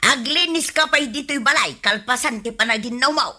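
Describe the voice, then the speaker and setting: An actress playing a bossy, nagging wife in an Ilocano radio comedy, with a demanding, scolding manner. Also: female voice, sits high, moderate pace, pushed and bright, full character voice. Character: bossy, nagging wife piling chores on her husband.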